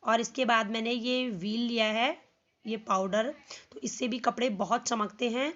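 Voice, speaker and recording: A young woman with a North Indian accent and a hoarse voice from a cold, casually explaining a household chore step by step for her home vlog. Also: fairly high pitch, fairly even intonation, moderate pace, fairly bright tone, highs cut off. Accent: Hindi-speaking North Indian. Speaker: home vlogger. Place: home, by a washing machine outdoors.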